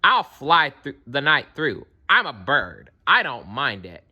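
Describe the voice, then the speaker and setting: A man's voice: mid-range voice, big pitch swings, slow, lo-fi sound, pitched above the performer's own voice. A man doing a sneering mean eagle. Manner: sneering, dismissive.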